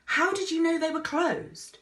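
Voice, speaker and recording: A woman's voice: extremely high pitch, expressive, measured pace, fairly bright tone, pitched above the performer's own voice, exaggerated voice. A woman voicing a frightened, hesitant boy in a storybook, with a panicky way of speaking. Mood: surprised. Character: frightened, hesitant boy on his first flight. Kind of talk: storybook character voice.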